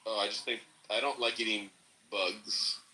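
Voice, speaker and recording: A man in a casual chat, talking in a rambling, animated way. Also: fairly low pitch, normal speed, slight background noise, low-quality recording. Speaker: podcast participant.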